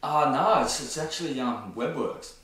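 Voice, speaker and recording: A man's voice: mid-range voice, animated, normal speed, a loud crowd. A man with an Australian accent hosting a casual interview, with hesitant, informal phrasing. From Australia, grew up in Newcastle, New South Wales. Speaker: interview host. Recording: very echoey room, faint background noise.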